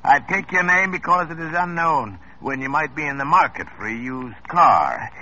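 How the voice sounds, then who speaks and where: An actor playing a smooth-talking used car dealer with folksy sales patter. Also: male voice, on the high side, expressive, moderate pace, pushed and bright. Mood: salesy. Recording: muffled old radio recording with faint hiss.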